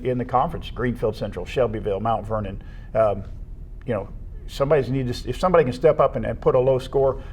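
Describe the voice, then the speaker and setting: Male co-host, American, analytical and matter-of-fact sports chat, medium pitch, some background rumble. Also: a little mellow. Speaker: co-host of a school athletics show. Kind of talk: conversational sports discussion.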